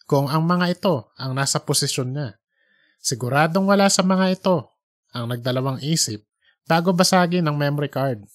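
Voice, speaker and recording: A man's voice: a little high, normal speed, no room sound. A man works as a podcast storyteller. He reads scripted audiobook narration, and the recording is close and dry, with no room sound.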